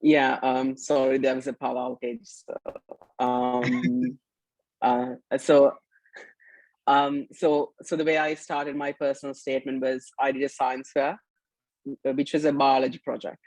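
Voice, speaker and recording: A man's voice: medium pitch, little pitch movement, unhurried, a little mellow, no room sound, low-quality recording. A young man, a student panelist, describing his experience hesitantly over a video call, with many "sort of" and "uh".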